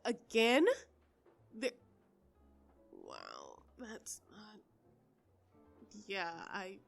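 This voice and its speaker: A woman playing a polite, flustered pop star who wants privacy, speaking haltingly and conversationally in an intimate audio roleplay. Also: medium pitch. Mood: startled, exasperated.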